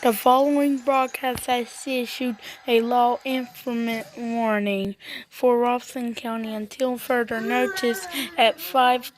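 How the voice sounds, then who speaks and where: A woman's voice: on the high side, rough recording. A woman reading a scripted emergency broadcast warning as an announcer.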